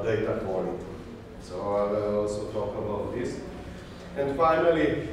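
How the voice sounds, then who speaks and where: A man's voice: medium pitch, distant mic. Slovenian-accented man lecturing in an echoey hall. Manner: self-deprecating, lists items one by one. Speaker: conference speaker. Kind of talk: technical conference talk. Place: large conference hall. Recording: echoey hall, speaker off-mic, audible noise.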